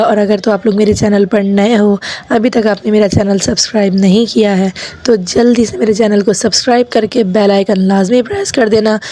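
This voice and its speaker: A woman in her thirties with a hoarse voice from a sore throat, chatting to viewers as she narrates her family vlog. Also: medium pitch, warm tone. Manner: chatty, addresses viewers directly. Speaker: vlogger. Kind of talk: casual vlog voice-over narration.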